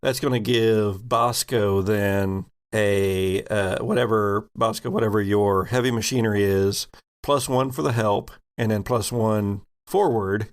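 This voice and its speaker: A man narrating a tabletop game as game master in a casual, halting way, thinking aloud as he goes. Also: lowish voice, steady tone.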